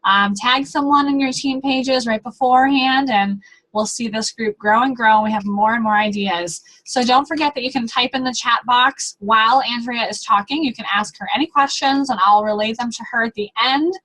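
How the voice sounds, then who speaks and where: Chatty woman host, bright voice. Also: a little high, moderate pace, poor audio. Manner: relaxed, filler-heavy. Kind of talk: casual announcements.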